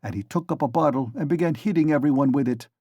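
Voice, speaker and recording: A man voicing a flustered, garrulous landlady with a German accent, pattering rapidly as she defends herself. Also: a little high, warm tone, breathy edge, no room sound, muffled recording. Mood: indignant pleading. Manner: rapid pattering, repeated "Mister Captain".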